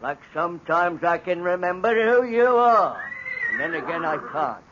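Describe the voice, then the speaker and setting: A man's voice: sits high, lively intonation, normal speed. An actor playing a confused, simple-minded male servant in a 1940s radio drama, pleading and lashing out bitterly. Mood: anguished, confused. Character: simple-minded, tormented male servant after an old injury. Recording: dull old radio broadcast recording with faint hiss.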